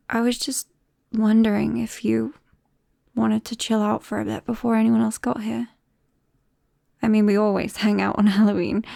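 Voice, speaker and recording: A woman acts out a nervous, affectionate young British woman in an intimate ASMR roleplay. Her voice is soft and mellow with a creaky edge, and she speaks hesitantly. The sound is very close and dry. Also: mid-range voice, no echo. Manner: hesitant, soft-spoken, breaking into whispers and small nervous laughs. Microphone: close-up microphone, dry.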